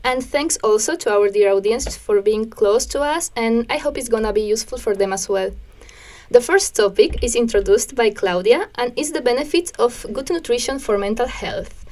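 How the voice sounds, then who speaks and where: A woman's voice: a little high, a little mellow. Friendly young woman radio host. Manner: friendly, welcoming, slightly halting. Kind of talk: casual radio hosting.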